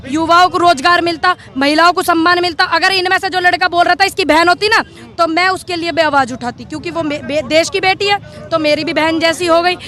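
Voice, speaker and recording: A woman at an outdoor street debate, arguing forcefully and firing rhetorical questions. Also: very high-pitched, a little fast. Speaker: member of the public at a street debate.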